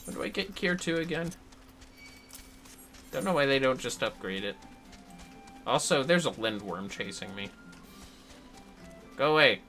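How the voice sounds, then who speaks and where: Guy livestreaming a game, rambly casual chat, pitch moves around a lot, some music behind. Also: lively intonation, a little slow, long pauses, sharp tone. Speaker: livestream host playing a game. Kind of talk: casual gaming commentary.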